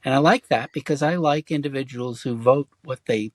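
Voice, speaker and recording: Halting, hesitant man in dry audio. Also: medium pitch, dry recording. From Germany. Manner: hesitant, halting with many fillers and restarts. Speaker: interview guest. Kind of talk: casual conversation.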